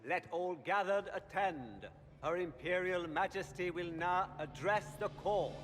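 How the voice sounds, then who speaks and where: A man's voice: high voice, measured pace, fairly bright tone. A man playing a formal, pompous royal herald in a video game, making a ceremonial announcement. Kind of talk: dramatic video game acting. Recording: long hall reverb under music.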